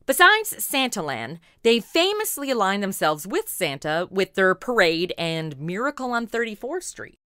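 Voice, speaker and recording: A woman's voice: mid-range voice, sing-song, moderate pace, pushed and bright, clear, resonant tone, no echo, clean studio sound. A woman hosting a podcast interview segment, putting questions to a guest. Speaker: podcast host. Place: small recording booth.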